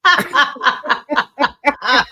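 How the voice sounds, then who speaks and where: A woman's voice: high-pitched, lively intonation, drawn-out words, sharp tone, slightly rough, no room sound, clean studio sound. A woman hosting a casual livestream, rambling with many fillers, a bit under the weather with a cold. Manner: chatty, rambling, frequent fillers. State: coughing and sneezing. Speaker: livestream host.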